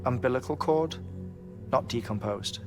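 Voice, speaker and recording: An actor playing an earnest scientist in a game cutscene, speaking in a measured, scholarly way. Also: male voice, medium pitch, gravelly, over music. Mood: explaining. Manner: measured, scholarly, precise, lecturing. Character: brainy, earnest male scientist lecturing on theory. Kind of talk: video game cutscene acting. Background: game score.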